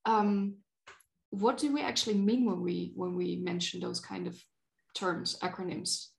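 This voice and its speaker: A woman's voice: on the low side, steady tone, measured pace, gravelly. A woman hosting a podcast, chatting casually as she interviews her guests. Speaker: podcast host.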